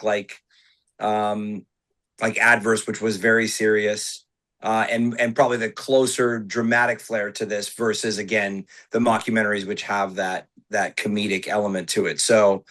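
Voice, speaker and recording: A man's voice: on the low side, flat delivery, moderate pace, a bit bright. Thoughtful male actor in interview. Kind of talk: interview answer.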